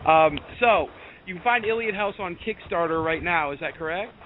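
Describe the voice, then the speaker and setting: A young man with a somewhat high voice hosts a casual interview. He sounds eager and agreeable. His audio is thin like a phone line, with a little noise. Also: normal speed. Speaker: podcast host, interviewer. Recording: noisy, narrow phone-like audio.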